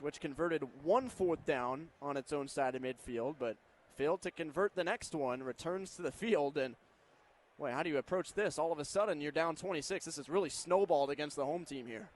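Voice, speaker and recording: An American man doing live play-by-play commentary of a football game on radio, with stadium noise behind him. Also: a little high. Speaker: play-by-play announcer.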